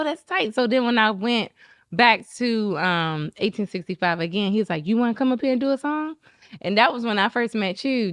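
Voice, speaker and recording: A woman in casual podcast conversation, an animated storyteller who acts out what other people said. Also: dry recording. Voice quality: describes her own talking voice as high-pitched and squeaky.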